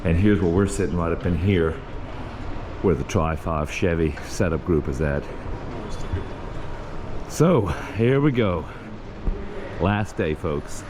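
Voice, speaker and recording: A man with a hoarse, raspy voice hosts a casual vlog, chatting in a folksy way to viewers while walking through a car show. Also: deep voice, expressive, takes it slowly, pauses often. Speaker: vlog host. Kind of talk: casual walk-around vlog. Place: car show halls and grounds, indoors and outdoors. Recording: noisy venue background.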